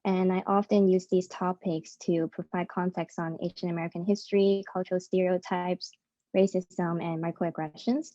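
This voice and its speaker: Dark-voiced woman journalist, dry call audio. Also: lowish voice, level tone, normal speed, dry recording, dull audio. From born in Hong Kong, raised in New York. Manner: conversational, frequent filler "um" and "like". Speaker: freelance journalist, panelist. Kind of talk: prepared panel remarks. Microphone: video call.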